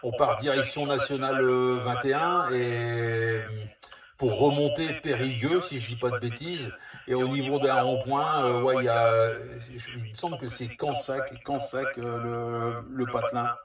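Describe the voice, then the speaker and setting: A man's voice: mid-range voice, fairly even intonation, a little slow, a crowd in the background. Casting: male CB operator, casual and rambling, distant from the mic, roomy echo. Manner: rambling, hesitant. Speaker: CB radio operator. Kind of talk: casual two-way radio chat.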